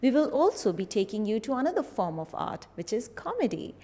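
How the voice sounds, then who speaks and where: A woman's voice: fairly high pitch, sing-song, brisk, dark tone. A woman hosting a TV interview, enthusiastic and asking questions with some "uh" and "um" fillers. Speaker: TV show host. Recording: reverberant hall with faint ambience.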